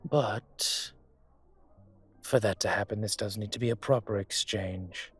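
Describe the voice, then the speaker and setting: A man acting a suave, older, gentlemanly dragon mafia boss in a romantic roleplay audio drama, courtly and teasing, with a husky voice. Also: lowish voice, fairly even intonation. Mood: smooth, inviting. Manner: unhurried, courtly, teasing, intimate. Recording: music behind the voice.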